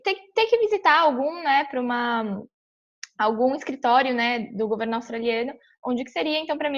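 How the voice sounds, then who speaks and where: Young brazilian woman from são paulo walking thru a form on webcam, kinda high voice, lots of fillers, muffled lo-fi sound. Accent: São Paulo Brazilian Portuguese. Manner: chatty, many fillers and restarts. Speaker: vlogger walking through a visa application. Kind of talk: casual screen-share tutorial. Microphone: webcam on a computer. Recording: dull lo-fi webcam audio.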